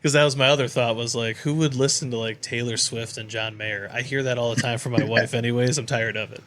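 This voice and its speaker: A man's voice: medium pitch, steady tone, fast talker, fairly bright tone, a little hiss or hum. A man co-hosting a podcast in casual, conversational chat. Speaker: podcast co-host.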